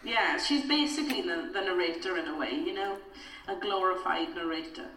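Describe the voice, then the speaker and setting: A woman's voice: on the low side, normal speed, bright, forceful tone, recorded from a distance. Welsh woman actor, echoey and off mic. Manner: chatty, laughs often, frequent fillers. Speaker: actor, interview guest. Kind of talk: casual interview talk.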